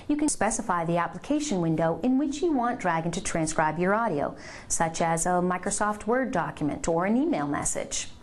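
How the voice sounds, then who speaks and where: Woman narrating a step-by-step software tutorial. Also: on the low side. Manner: clear step-by-step instructing. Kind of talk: scripted instructional narration.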